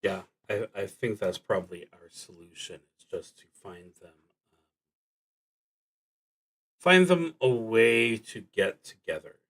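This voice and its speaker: A man's voice: lowish voice, sing-song, a bit bright. A man streaming a solo tabletop roleplaying game, thinking aloud in a hesitant, musing, unscripted way. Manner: hesitant, musing, halting delivery.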